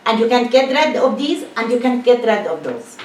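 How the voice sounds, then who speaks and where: A woman's voice: on the high side, big pitch swings, normal speed, a little mellow. A woman from northern Israel, speaking emphatically and asking rhetorical questions in a public talk. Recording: echoey room, mic at a distance, faint hiss.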